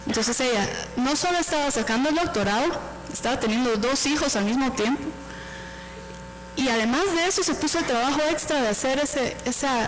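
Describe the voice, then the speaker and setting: Reflective woman professor, slightly high. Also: slight background noise, rough recording. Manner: reflective, thoughtful. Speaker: panelist professor. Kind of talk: panel talk.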